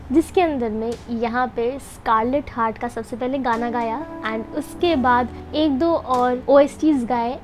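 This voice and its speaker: A young woman's high voice runs through a chatty, upbeat voice-over for her vlog. She talks straight to the viewers and keeps things friendly. Faint music plays behind her. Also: a little mellow. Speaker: food and event vlogger. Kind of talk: voice-over narration mixing Hindi and English.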